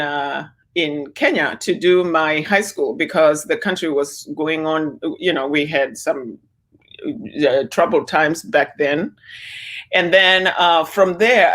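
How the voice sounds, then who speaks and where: A woman's voice: low-pitched, fairly bright tone. A woman in her sixties, born and raised in Zimbabwe, answering interview questions over a video call with many fillers and restarts. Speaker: interview guest.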